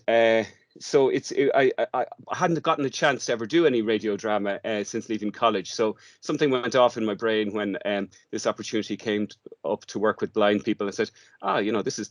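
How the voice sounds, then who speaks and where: A man with a fairly low voice and a dry, close sound explains his work in an informal interview. He speaks hesitantly, piecing his thoughts together as he goes. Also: no echo. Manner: hesitant, thinking aloud in fragments. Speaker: theatre director, interview guest.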